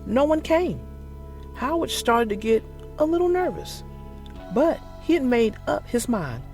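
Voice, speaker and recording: An expressive children's storyteller reads with very lively, swooping intonation. The delivery is theatrical and deliberate, made for young listeners, over faint background music. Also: mid-range voice. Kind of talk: expressive scripted read-aloud for young children.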